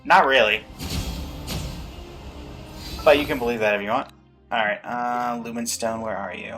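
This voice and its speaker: A man's voice: medium pitch, moderate pace, pushed and bright. A man commentating casually on a video game, musing aloud and reading bits of on-screen text. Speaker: gaming streamer reacting to a story quest. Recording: faint game music behind.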